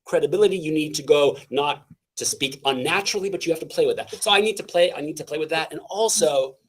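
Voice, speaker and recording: A man from Canada speaks as an interview guest, his voice fairly high and full of energy. He talks in an animated, halting way, restarting phrases and dropping in fillers as he goes. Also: slightly rough. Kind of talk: casual interview talk.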